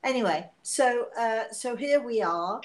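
A woman in her sixties, hoarse and coughing from illness, chatting and laughing on a live stream from her living room, talking into a tablet camera. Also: a little high, expressive, unhurried. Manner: chatty, laughing, self-mocking. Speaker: psychic medium hosting a live show. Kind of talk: casual live-stream chat. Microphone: device camera at arm's length.

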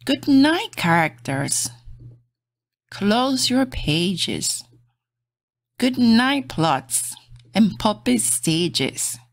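Female children's read-aloud host: gentle, encouraging, slow and expressive, recorded dry and close. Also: fairly high pitch, dry recording. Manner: gentle, sing-song, encouraging.

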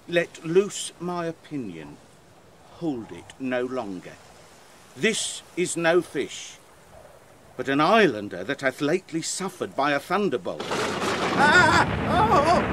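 Man playing a high, swooping, jittery clown castaway. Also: fairly bright tone, full character voice. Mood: panicked. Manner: exclamatory, musing aloud, theatrical. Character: jittery, curious, cowardly male clown castaway. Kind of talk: Shakespearean comic audio play acting. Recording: clear background storm noise.

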